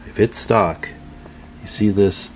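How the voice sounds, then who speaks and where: A man giving a casual hands-on repair tutorial, talking informally and haltingly as he thinks aloud while working. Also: lowish voice, slight background noise. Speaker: video host demonstrating CB radio tune-up.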